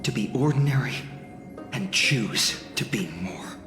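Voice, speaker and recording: A man playing an earnest, gentle, steadfast heroic companion in scripted game dialogue. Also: mid-range voice, measured pace, close to an everyday voice. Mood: earnest, resolute. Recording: hall reverb, music underneath.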